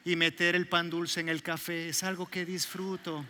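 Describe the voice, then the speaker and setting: A man's voice: high-pitched, flat delivery, normal speed, bright, forceful tone, a little hiss or hum. A middle-aged man from El Salvador with a Salvadoran Spanish accent, preaching a sermon and telling stories with jokes for the congregation in a large church hall. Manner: storytelling, joking with the congregation. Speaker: pastor. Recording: long hall reverb.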